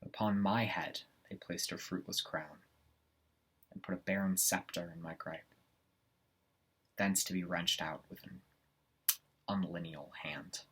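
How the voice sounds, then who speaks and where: Man acting out brooding would-be regicide, tormented and ambitious, deep husky voice, deliberate classical stage soliloquy, weighted pauses. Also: fairly even intonation, fairly bright tone, heavily acted. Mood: dark, grim.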